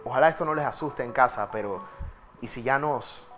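A man's voice: mid-range voice, normal speed, a bit bright, soft background music. A man presenting a technology segment in a TV news studio, talking in a chatty, dramatic way with fillers. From Panama. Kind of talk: studio tech segment, conversational.

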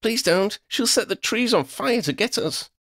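A man's voice: very high voice, expressive, normal speed, gravelly, fairly bright tone, voice pushed up, exaggerated voice. A man voicing an earnest, fiery young woman, a witch in a storybook, pleading and emphatic.

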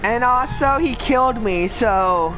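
A woman in casual gaming chat, excitable and shouting playful taunts at other players. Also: a little high, takes it slowly, rough recording. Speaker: gamer. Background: game music.

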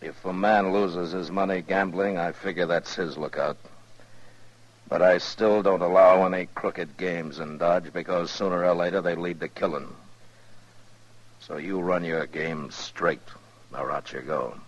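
A no-nonsense western marshal for old radio, deep husky voice, few words. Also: male voice, fairly even intonation, a little slow, dull audio. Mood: stern warning. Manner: terse, firm, plain-spoken. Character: stern, unbribable frontier US marshal. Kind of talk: 1950s radio western acting.

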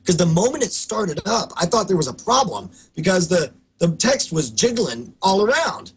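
A man in his thirties with a hoarse voice, co-hosting a casual podcast over a video call and telling stories in an animated, restarting way. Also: high-pitched, rough recording. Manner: animated, quick storytelling with restarts. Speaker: co-host. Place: home studio room.

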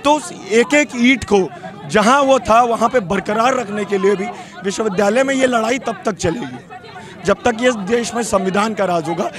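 Young guy activist yelling his points into a reporter mic outside, high voice, crowd noise all around. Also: moderate pace. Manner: forceful, fiery political speech. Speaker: student activist. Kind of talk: street interview at a protest. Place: outdoor street protest. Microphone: handheld reporter mic. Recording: outdoor protest with crowd behind.

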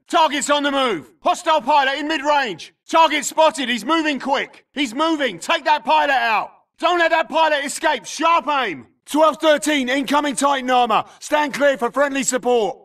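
An actor playing an urgent, disciplined sci-fi infantry soldier, calling out clipped combat barks for a video game. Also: male voice, very high voice, fairly bright tone, far from the mic. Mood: aggressive, focused. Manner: clipped, urgent radio-callout delivery.

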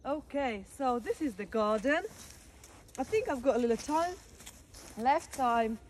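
A woman's voice: high-pitched, lively intonation, a little slow, a little hiss or hum. Chatty woman touring her garden outdoors. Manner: chatty, pointing things out as she walks. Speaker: garden vlogger. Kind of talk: casual garden tour walkthrough.

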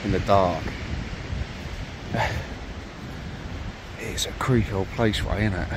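Deep-voiced man exploring. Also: fairly even intonation, extremely slow, long pauses, noisy background. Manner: chatty, easily impressed, matey. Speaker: urban explorer host. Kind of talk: casual exploring commentary. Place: dark abandoned old house, indoors and out.

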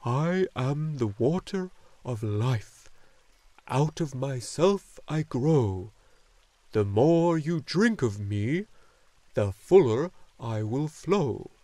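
A man voicing a wise, joyful luck dragon in a storybook, speaking slowly and solemnly with great deliberation. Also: fairly high pitch, very animated, darkish tone, no room sound, pitched above the performer's own voice. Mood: solemn reciting. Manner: slow, solemn, deliberate delivery.

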